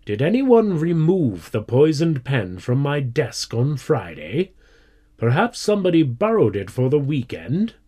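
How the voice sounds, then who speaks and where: Casting: storybook schoolmaster played by a male narrator, mild, earnest and pleading, slow delivery with lively rises and falls. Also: medium pitch, close to an everyday voice. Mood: worried, pleading.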